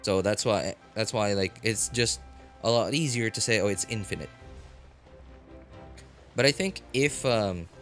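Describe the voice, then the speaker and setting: A man livestreaming a game, rambling casually and thoughtfully, often halting and repeating his phrases as he works out a thought. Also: fairly low pitch, fairly bright tone. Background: background music.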